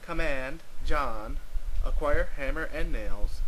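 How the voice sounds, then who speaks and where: A man's voice: a little high, slow pace, bright, forceful tone, a little hiss or hum, low-quality recording. A man voicing a terse commander who issues clipped orders in a dramatised web comic reading. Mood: curt, commanding. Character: terse commanding voice issuing text-game orders.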